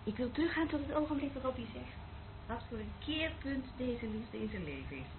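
A woman's voice: fairly high pitch, expressive, a little fast, natural voice. A woman acting a brazen, seductive, volatile agent in a Dutch radio play, with a theatrical, taunting manner. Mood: teasing. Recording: narrow phone-like old radio recording, faint noise.

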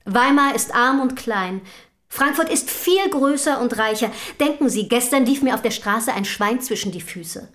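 An actress in a German historical radio drama playing an earnest, outspoken early feminist from the city, speaking formally and rhetorically. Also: female voice, a little high, expressive, moderate pace, fairly bright tone. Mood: candid, probing.

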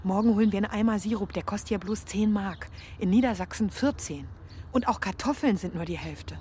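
An actress playing a resolute, practical and affectionate young widow with a plain, familiar manner in a radio play. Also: female voice, mid-range voice, a little fast, warm tone, slight background noise, close to an everyday voice. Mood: practical, bright.

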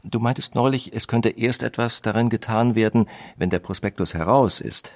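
An actor reading the letters of a German industrialist brother, insistent and urging. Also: male voice, medium pitch, normal speed, no room sound, studio quality, natural voice. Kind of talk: reading historical letters in a radio feature.

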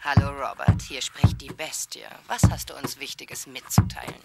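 An actress playing a blunt, hard-line talk radio host in a radio drama. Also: female voice, rumbling low, huge pitch swings, moderate pace, vocal fry, over loud music, heavily acted. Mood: on-air brisk. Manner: blunt, provocative. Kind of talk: radio drama acting, call-in talk show monologue.

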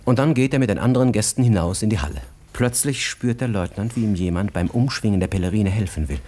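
An actor as narrator of a German radio drama, telling the story in a measured, intimate, expressive literary style. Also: male voice, fairly low pitch, close to an everyday voice. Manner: measured, expressive, savouring details.

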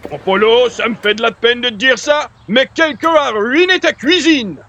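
A man's voice: extremely high pitch, normal speed, pushed and bright, slight background noise, full character voice. A man voicing a cheeky, exuberant comic sidekick in a comedy sketch. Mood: mock concern. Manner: exuberant, teasing.

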